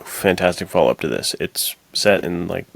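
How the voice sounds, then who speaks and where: A man's voice: low voice, moderate pace, creaky. A man co-hosting a podcast, chatting casually about films and books in a slangy, emphatic and enthusiastic way. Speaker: podcast co-host.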